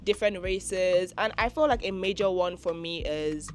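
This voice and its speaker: A teenage girl from Nigeria, a high school student, answering interview questions in a chatty way. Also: moderate pace. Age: teens. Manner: chatty, full of "like" fillers, giggly.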